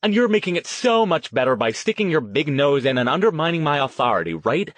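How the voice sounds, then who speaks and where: A man voicing a fed-up, defiant female TV director in an audiobook, with sharp, forceful retorts. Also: on the high side, big pitch swings, fairly quick, no echo, voice pushed up. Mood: angry.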